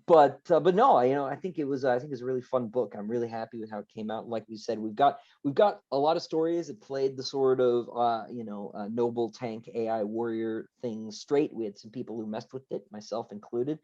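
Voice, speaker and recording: A man's voice: mid-range voice, a little fast, few pauses, dark tone, muffled recording. A man speaking casually as a podcast guest, chatty and often checking in with little tag words. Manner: chatty, tags phrases with "right" and "you know". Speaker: book editor and author, podcast guest. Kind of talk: casual conversational interview.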